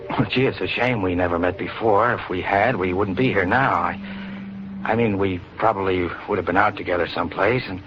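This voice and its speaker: Male actor as earnest young everyman, low voice, halting informal talk, 1940s radio drama, noisy dull recording. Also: lightly acted. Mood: shy, earnest. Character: plain-spoken, brave, bashful young man named Joe.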